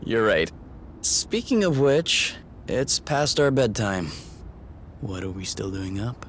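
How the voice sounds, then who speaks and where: A man playing an easygoing young man, a gentle partner in casual video game dialogue. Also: on the low side, big pitch swings, slow pace, slight background noise. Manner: easygoing, conversational. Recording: prominent music behind the voice.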